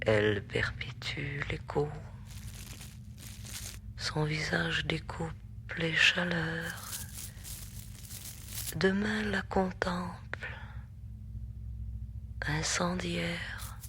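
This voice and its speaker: A voice reciting fragments of poetry in a hushed, breathy whisper, in slow broken phrases within an electroacoustic sound piece. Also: medium pitch, slight background noise.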